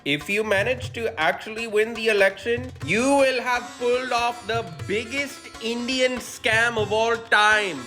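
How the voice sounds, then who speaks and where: Bright, sharp voice, slow deliberate mocking speech, soft music underneath. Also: mid-range voice. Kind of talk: scripted mock address, deliberate delivery.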